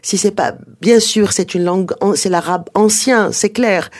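A native Arabic speaker of medium pitch giving explanations in an interview, hesitant and digressive, with emphatic points. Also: measured pace. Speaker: interviewed author and researcher on early Islamic texts.

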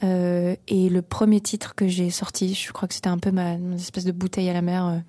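A woman's voice: fairly low pitch, flat delivery, brisk, warm tone, no room sound. A young woman from the Paris region, a singer-songwriter answering interview questions, talking hesitantly with many fillers and restarts. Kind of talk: radio interview.

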